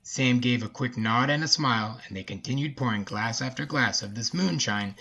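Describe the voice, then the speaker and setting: A man's voice: mid-range voice, a bit bright, slight background noise. A man narrating a scripted folksy story as the narrator of an audio drama.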